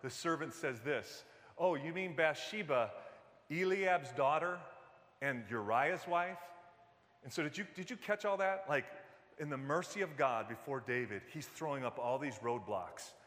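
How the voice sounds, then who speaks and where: A man's voice: fairly high pitch, expressive, measured pace, fairly bright tone. A man from Detroit in his fifties, preaching a sermon in a church in a conversational way, with many fillers and restarts and jokes with the congregation. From Detroit, US Midwest. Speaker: pastor. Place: church sanctuary. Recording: long reverb of a large hall.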